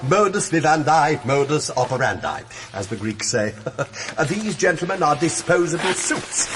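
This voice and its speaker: Guy doing a pompous wordy gravedigger boss, high swoopy voice, radio drama, noisy background. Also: natural voice. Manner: theatrical, wordy, pompous, given to chuckling. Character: florid, jovial, pompous gravedigger boss who runs exhumations.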